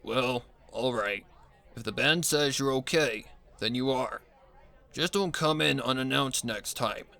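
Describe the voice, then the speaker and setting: A man voicing a gruff, officious security guard pony in a story, stern and huffy. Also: mid-range voice, measured pace, pushed and bright, a faint crowd. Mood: grudging.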